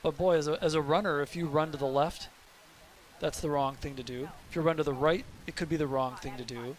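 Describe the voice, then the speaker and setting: A man doing live sports commentary, deliberate and questioning as he works through the play. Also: a little high, fairly even intonation, poor audio. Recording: live sports broadcast with music behind.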